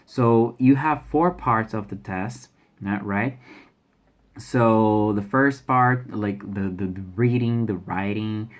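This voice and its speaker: A man's voice: lowish voice, slow. A young man from Brazil with a Brazilian Portuguese accent, speaking English as a second language in a casual vlog, often restarting and repeating words. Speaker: language learner sharing exam experience. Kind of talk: casual vlog talk to camera.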